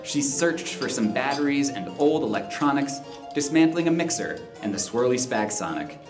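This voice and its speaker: A male narrator reads a rhyming storybook aloud in a measured, expressive way. His voice sits in an echoey live room with guitar music behind it. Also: medium pitch. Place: live stage in a library room.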